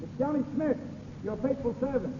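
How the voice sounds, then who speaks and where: An actor playing a cheeky, tipsy, easily flustered sidekick in a 1930s radio comedy, excitable, mock-servile and spluttering. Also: male voice, extremely high pitch, normal speed, background noise. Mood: playful. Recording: tinny old radio broadcast.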